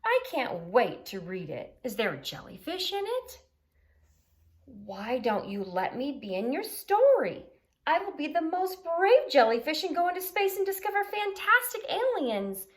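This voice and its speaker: A woman's voice: high voice, very animated, measured pace, pitched above the performer's own voice. A woman voicing an eager, boastful jellyfish in a children's storybook, pleading and showing off.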